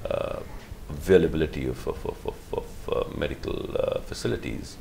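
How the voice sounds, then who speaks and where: Very deep, gravelly man. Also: a little mellow, a little hiss or hum. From Pakistan. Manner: halting, measured, many fillers and restarts. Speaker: guest, physician. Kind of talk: interview answers.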